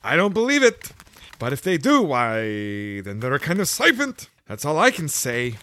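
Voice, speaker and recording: A man voicing an agitated, fussy female pigeon guarding her nest, who scolds loudly. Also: huge pitch swings, moderate pace. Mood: scolding. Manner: shrill, scolding, loud.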